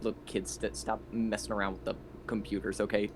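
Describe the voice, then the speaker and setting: A man playing a tabletop roleplaying game, speaking casually and a little haltingly for his character. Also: fairly low pitch, flat delivery, a little hiss or hum, rough recording.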